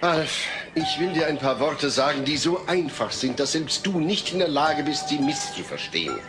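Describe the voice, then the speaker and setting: Exasperated dad, lively higher voice. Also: male voice, moderate pace, over music. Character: exasperated, patient middle-aged family father. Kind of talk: German audio play comedy acting.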